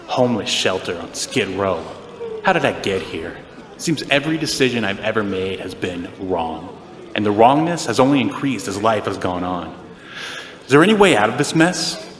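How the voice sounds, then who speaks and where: Man playing a low-voiced sarcastic loser. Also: roomy sound, in a large hall, lightly acted. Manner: wry, self-deprecating. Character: sarcastic, exasperated insomniac everyman, barely coping. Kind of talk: audio drama acting and monologue.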